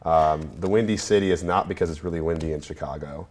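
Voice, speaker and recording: Very deep-voiced young man, rambling. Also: fast talker, darkish tone. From New York State. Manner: rambling and hesitant, many ums and restarts. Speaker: guest. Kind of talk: casual chat.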